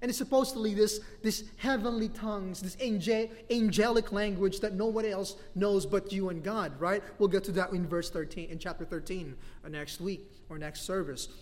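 High-voiced Filipino pastor preaching. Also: male voice, moderate pace, hardly any pauses. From Philippines. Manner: animated preaching with frequent laughs. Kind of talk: sermon. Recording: long hall reverb.

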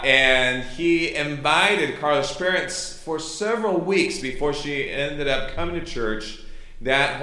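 A middle-aged man from Chile with a Chilean Spanish accent, a native Spanish speaker, giving an informal sermon and testimony as a missionary pastor, halting and correcting himself as he goes. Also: a little high, sharp tone. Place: church hall during a service. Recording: echoey room sound.

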